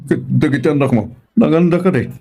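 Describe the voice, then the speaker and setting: A man with a Filipino accent playing a gravelly, caring older uncle in a radio drama. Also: a little high, quick pace, dark tone. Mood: concerned, questioning.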